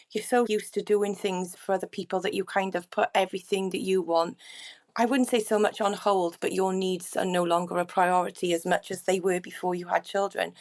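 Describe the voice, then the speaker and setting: Woman guest on a podcast, middle aged, earnest reflective storytelling, ordinary pitch. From Wales. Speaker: guest singer-songwriter. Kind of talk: casual interview chat.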